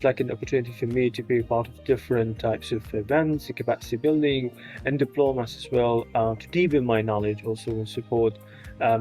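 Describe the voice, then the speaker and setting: A man from Syria, giving an earnest recorded testimonial about his work as an education specialist, pausing often with "um" and "uh". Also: mid-range voice, darkish tone. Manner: earnest, with frequent "um" and "uh" pauses.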